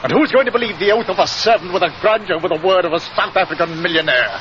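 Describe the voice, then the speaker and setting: A man's voice: high voice, fast talker, natural voice. A man playing an arrogant, scornful millionaire villain with a sneering, haughty manner in 1940s radio drama. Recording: muffled, noisy 1940s radio recording.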